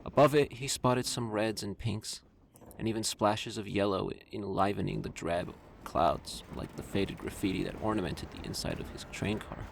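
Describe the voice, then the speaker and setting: A man's voice: lowish voice, moderate pace, gravelly, slight background noise. A man reading literary scripted narration for an audio drama. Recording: loud music under the voice. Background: loud music.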